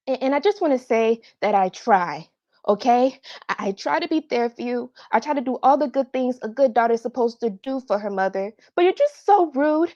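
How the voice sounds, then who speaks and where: A woman's voice: on the high side, darkish tone. Woman acting a hurt, pleading daughter, muffled audio. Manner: emotional, pleading, slow deliberate stresses. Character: hurt grown daughter pleading with her rude mother.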